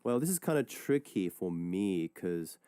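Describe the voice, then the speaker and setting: Low-voiced easygoing male radio DJ. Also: measured pace, smooth, dry recording. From Australia. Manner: relaxed, rambling, many fillers and restarts. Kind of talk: casual radio chat reading listener messages. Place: radio studio. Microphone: studio microphone.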